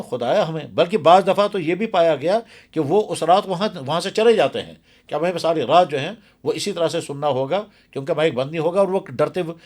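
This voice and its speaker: Earnest male cleric, slightly high voice. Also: darkish tone. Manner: earnest, emphatic, repeats phrases for stress. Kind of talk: explanatory religious talk in an interview.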